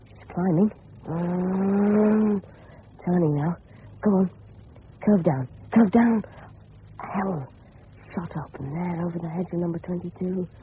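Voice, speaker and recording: A man's voice: sits high, slow pace, pauses often. A man playing an eager, cheeky English teenage schoolboy, thinking aloud in clipped boyish slang in a radio drama. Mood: excited, intent. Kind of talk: 1970s radio drama inner monologue. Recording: tinny, faintly noisy old radio broadcast.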